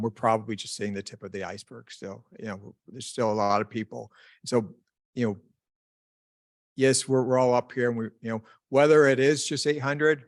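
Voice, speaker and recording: A man's voice: mid-range voice, no room sound. A man on the panel clarifying a figure and speaking in a reassuring, repetitive way. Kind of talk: panel discussion.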